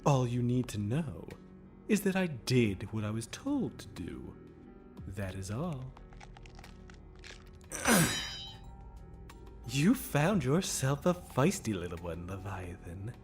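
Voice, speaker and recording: Purring, languid flirtatious demon. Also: male voice, medium pitch, very animated, soft background music. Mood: teasing menace. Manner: languid, teasing, drawn-out phrasing with pet names. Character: purring, mischievous, flirtatious male demon, menacing and theatrical. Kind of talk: audio drama acting.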